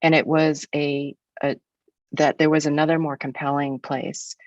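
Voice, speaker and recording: A woman's voice: low-pitched, dry recording, dull audio. A woman in her sixties from the United States, a guest speaker in an academic webinar, talking in a frank, reflective way with some restarts.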